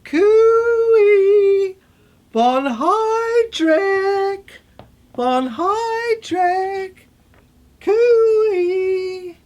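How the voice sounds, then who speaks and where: A woman's voice: very high-pitched, expressive, extremely slow, a little mellow, muffled recording, heavily acted. A woman performing a theatrical dramatic monologue as an unhinged mad scientist in an asylum, rambling obsessively in repetitive fragments. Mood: frantic, insistent. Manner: stammering, repetitive, fragmented delivery.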